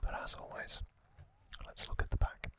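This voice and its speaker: A man hosting an ASMR series, narrating trivia in a breathy, unvoiced whisper with deliberate short phrases and pauses. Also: pushed and bright.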